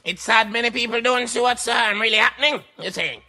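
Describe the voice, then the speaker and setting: A man voicing a hesitant, inquisitive radio sidekick who asks questions in a halting, deferential way. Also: very high-pitched, sharp tone, soft background music, voice pushed up, exaggerated voice.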